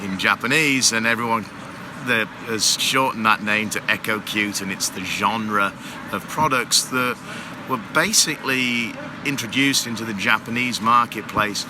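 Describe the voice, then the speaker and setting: Low-voiced man chatting on a noisy expo floor. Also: unhurried. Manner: explanatory, rambling with fillers. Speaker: guest, water heater company manager. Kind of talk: casual interview on a trade show floor. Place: busy trade show floor.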